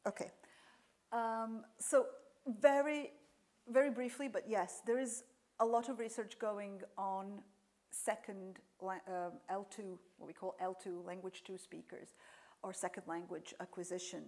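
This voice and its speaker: A woman's voice: mid-range voice, steady tone, measured pace, a little mellow. A woman lecturer whose first language is Serbian, answering audience questions after a talk, explaining with frequent fillers and restarts.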